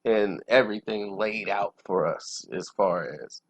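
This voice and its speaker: A man's voice: fairly low pitch, steady tone, unhurried, breathy edge. A man hosting a casual movie review, talking informally and conversationally about his opinions. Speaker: movie reviewer, channel host. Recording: dull lo-fi sound.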